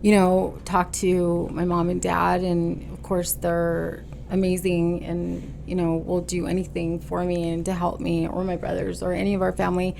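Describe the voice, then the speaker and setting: Woman, podcast guest. Low, gravelly voice, earnest and halting delivery. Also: completely flat delivery, warm tone, a little hiss or hum. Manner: halting, earnest storytelling with many fillers; stubborn, determined. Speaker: podcast guest, boutique owner. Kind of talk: casual conversational interview.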